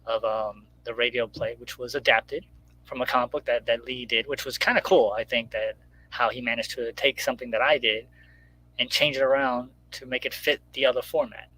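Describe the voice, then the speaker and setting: A middle-aged man chatting casually on a video call, explaining things in a halting way. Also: mid-range voice, fairly even intonation, sharp tone. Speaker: guest writer and radio show host. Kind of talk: casual chat. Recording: clearly noisy line with hum.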